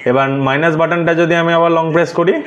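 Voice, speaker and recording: A man's voice: a little high, steady tone, fast, fairly bright tone, highs cut off, a little hiss or hum. A man gives a casual hands-on tutorial as a vlogger, explaining things and mixing English words into his sentences. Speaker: vlogger presenting a product unboxing.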